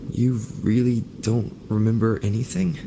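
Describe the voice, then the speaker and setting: A man playing a calm, level-headed young adventurer in a video game, steady and reassuring as he reasons things through. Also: mid-range voice, moderate pace, gravelly, noisy background, lightly acted. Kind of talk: scripted video game voice acting.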